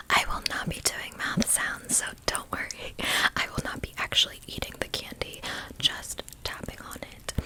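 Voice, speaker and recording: A woman hosting ASMR in a breathy whisper, chatting to viewers and playfully repeating words and phrases in a rhythmic way. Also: low-quality recording. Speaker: ASMR video host.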